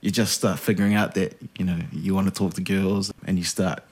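A man's voice: low voice, level tone, a little fast, darkish tone, slightly rough. A man, a podcast guest telling stories in a laid-back, self-deprecating way. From New Zealand. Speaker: podcast guest, radio host. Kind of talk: casual interview chat.

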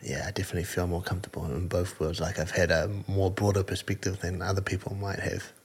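Husky deep-voiced New Zealand man. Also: little pitch movement. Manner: earnest, halting, many fillers and restarts. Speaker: combat sport fighter, guest. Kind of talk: casual interview answers.

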